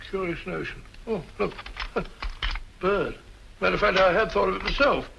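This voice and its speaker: High, gravelly, bumbling British sidekick. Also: male voice, normal speed, lo-fi sound. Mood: puzzled. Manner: muttering, hesitant, questioning. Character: bumbling, puzzled older gentleman sidekick, good-natured but blustery. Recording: noisy, crackly old film soundtrack.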